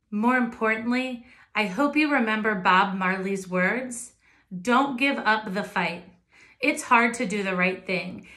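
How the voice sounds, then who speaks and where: Woman, bright forceful voice, mid pitch, earnest children's teacher, encouraging direct address. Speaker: children's music teacher, host. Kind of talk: direct address to young children, lesson wrap-up.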